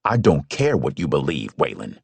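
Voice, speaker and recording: A man's voice: extremely low pitch, huge pitch swings, moderate pace, creaky, darkish tone, breathy edge, no echo, pitched below the performer's own voice, heavily acted. A man voicing a stern, commanding old father. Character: stern older father, family patriarch.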